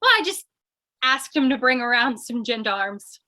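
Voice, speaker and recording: Woman doing a high bright voiced radio play dame, plucky, coy, acting all prim and dignified. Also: moderate pace. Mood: coy, sly. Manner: theatrical, coy, mock-dignified. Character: plucky woman posing as a ladylike gang boss.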